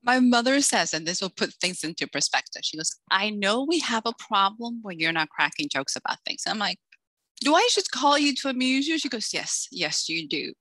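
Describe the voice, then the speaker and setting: Middle-aged woman guest, lively storyteller, bright tone, dry close sound. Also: mid-range voice, normal speed, no echo, poor audio. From Dominican Republic, raised in Massachusetts. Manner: animated storyteller, acts out quoted voices. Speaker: author guest. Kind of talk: casual interview chat.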